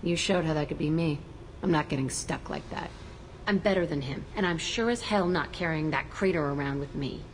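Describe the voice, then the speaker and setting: A volatile, foul-mouthed young ex-convict in a sci-fi game. Her voice is very low and gravelly, rough and defiant in delivery. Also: brisk, rough recording. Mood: gruff. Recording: game audio with faint ambient noise.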